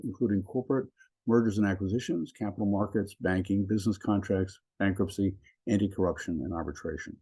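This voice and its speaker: Low, mellow-voiced professor hosting a webinar. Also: male voice, slightly rough, low-quality recording. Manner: measured, with frequent ums and restarts. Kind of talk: webinar introduction. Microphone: video call.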